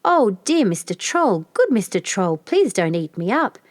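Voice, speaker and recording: A woman's voice: medium pitch, very animated, unhurried, no echo, clean studio sound. A woman voicing a middle-sized billy goat in a storybook, nervous, polite and pleading. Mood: frightened pleading.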